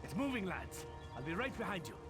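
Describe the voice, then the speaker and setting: A man's voice: high-pitched, sing-song, fairly quick, over music. A man playing a commanding, determined warrior leader in a fantasy video game. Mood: rallying, resolute. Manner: commanding, resolute. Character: gruff, determined Nord warrior leader rallying his troops. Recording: clearly noisy game audio with ambience.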